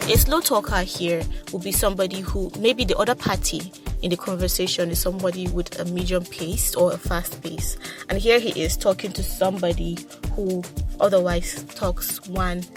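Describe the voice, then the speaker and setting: A woman hosting a solo advice podcast, talking quickly with a slight stutter and frequent repeated words and restarts. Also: lowish voice, fairly even intonation, over music. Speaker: podcast host.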